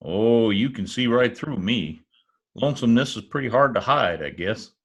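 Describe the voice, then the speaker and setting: A man's voice: mid-range voice, expressive, moderate pace, husky. A man playing a wry, wisecracking private detective who poses as a lonely oilman, in old radio drama style. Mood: folksy, playful. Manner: wry, quipping. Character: wisecracking male private eye posing as lonely Oklahoma oilman. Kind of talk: 1940s radio detective acting, first-person narration. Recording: remote video-call recording with music.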